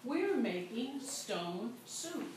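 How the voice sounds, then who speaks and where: A woman's voice: a little high, very animated, very slow, noisy background, heavily acted. A woman voicing cheerful, clever male travelers in a spoken storytelling, with a playful sing-song chant, heard from a distance in an echoey room. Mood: coaxing.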